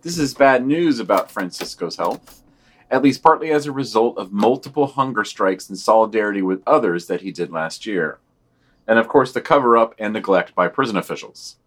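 A man co-hosts a news podcast and reads the items in a lowish voice. He stays earnest and measured throughout. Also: animated. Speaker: podcast co-host.

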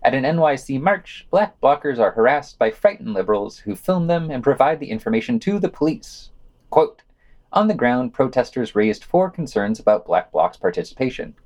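Man reading podcast news, very lively intonation. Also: medium pitch, normal speed, highs cut off. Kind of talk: scripted news reading with short asides.

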